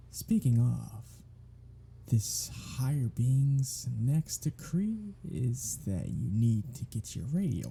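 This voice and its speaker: A performer voicing a cocky, sardonic, teasing vampire in an ASMR roleplay, with a breathy, husky voice and a drawling, sarcastic, playful way of talking. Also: medium pitch, dark tone, slight background noise, heavily acted. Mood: smug, teasing. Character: cocky, sardonic, teasing, foul-mouthed vampire riding shotgun. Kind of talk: ASMR roleplay audio drama, one-sided conversation.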